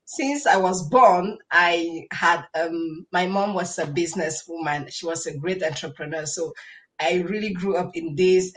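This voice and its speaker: Enthusiastic woman. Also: mid-range voice. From Cameroon. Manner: enthusiastic, emphatic repetition. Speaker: podcast guest, entrepreneur. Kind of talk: conversational personal storytelling.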